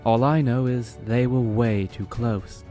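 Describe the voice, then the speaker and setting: A man's voice: medium pitch, steady tone, a little slow, mellow, voice pushed up, close to an everyday voice. A man voicing a loyal, casual dragonrider lieutenant who speaks laconically in a fantasy audio story. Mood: grave. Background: background music.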